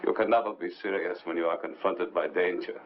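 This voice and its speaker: An actor playing a grave, wise mystic mentor in a 1950s film, speaking in a measured, formal way. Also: male voice, low-pitched, steady tone, close to an everyday voice. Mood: solemn warning. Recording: dull old film soundtrack, faint hiss.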